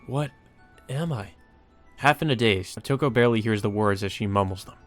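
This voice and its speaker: Man, fairly low voice, Let's Play host, visual novel narration, casual asides, music behind. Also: fast, a bit bright. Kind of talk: reading a visual novel aloud with casual commentary.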